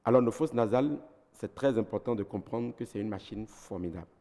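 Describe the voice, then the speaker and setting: A man's voice: lowish voice, brisk, dark tone, big-room sound. A man with a Beninese French accent, a doctor giving health advice on a TV talk show, methodical and didactic, listing his points one by one. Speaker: ENT doctor guest.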